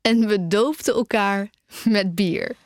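A woman's voice: medium pitch, takes it slowly, no room sound, clean studio sound, close to an everyday voice. A young woman playing a wry, lovesick music student, confiding informally in an audio drama. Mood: wistful reflection. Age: young adult. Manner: confessional, informal, self-mocking. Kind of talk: audio drama narration and dialogue.

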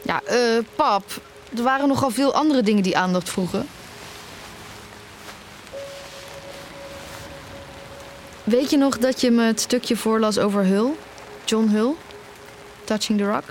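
A woman's voice: on the high side, moderate pace, a little hiss or hum, lightly acted. A young woman acting in an audio drama as a nearly blind student, wounded and stubborn, in intimate dialogue and narration. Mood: hesitant. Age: young adult.

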